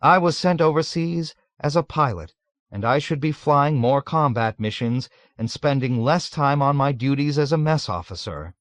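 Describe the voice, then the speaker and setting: A man's voice: medium pitch, expressive, moderate pace, dry recording, pitched above the performer's own voice, natural voice. A man doing a meek, sly young officer. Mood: demure. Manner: demure, soft, quietly insistent.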